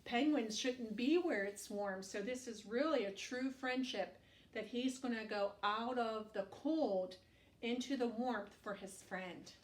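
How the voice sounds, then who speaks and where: A woman reads a picture book to young children, slowly and expressively, in a fairly high voice. She adds little asides and questions as a teacher would. The room echoes around her. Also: slight background noise. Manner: expressive, asks the listener questions. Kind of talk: read-aloud storytelling to young children with asides. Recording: echoey room, distant microphone.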